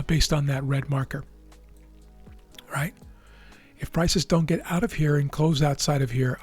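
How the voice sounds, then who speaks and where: A man with a raspy, tired voice, hosting a trading video and explaining live charts in a measured way with frequent fillers and restarts. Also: fairly high pitch, a little mellow. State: losing his voice.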